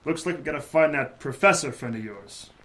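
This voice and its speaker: A man's voice: a little high, fairly quick, fairly bright tone, natural voice. A man playing a blunt, impatient private investigator in a noir audio drama, speaking in curt tough-guy lines. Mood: gruff. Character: hard-boiled male private eye, blunt and impatient. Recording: music playing behind the voice. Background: music.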